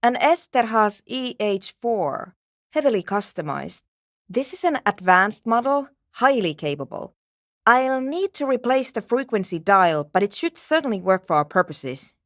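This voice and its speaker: Dry, brisk programmer woman, very animated pitch. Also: no echo. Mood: businesslike. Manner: dry, precise, curt, technical phrasing. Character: brisk, matter-of-fact female programmer and radio tinkerer. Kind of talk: video game character dialogue.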